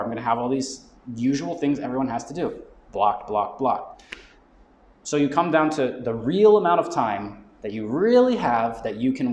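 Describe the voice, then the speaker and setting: A mid-pitched man talks through his ideas in a relaxed, explanatory way, tagging his points with "right?". He sounds like a guest expert at a live event. The sound is roomy, with a faint hum of ambience behind him. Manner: conversational, explanatory, checks in with frequent "right?". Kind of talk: live talk explaining business goal setting.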